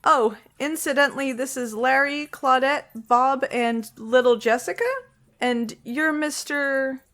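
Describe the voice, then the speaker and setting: Casting: actress as airy-headed chatty female psychic for comic drama, high voice, constant uptalk. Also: steady tone, unhurried, a bit bright. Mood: chatty, bewildered. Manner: uptalk, sentences end like questions. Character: Kimberly, ditzy, chatty New Age psychic woman. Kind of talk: comic dub character acting.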